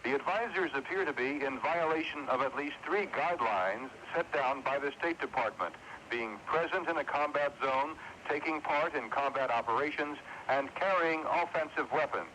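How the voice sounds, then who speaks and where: A man's voice: on the high side, normal speed, pushed and bright. An American man delivering a scripted field report as a radio news correspondent. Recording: faintly noisy 1980s radio report feed.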